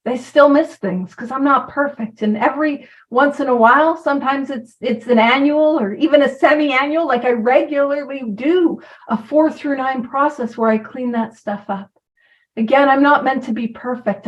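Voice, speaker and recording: A woman's voice: a little high, distant mic, muffled recording. A woman giving an informal talk about recovery, chatty and self-deprecating, who drifts from point to point. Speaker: recovery program speaker.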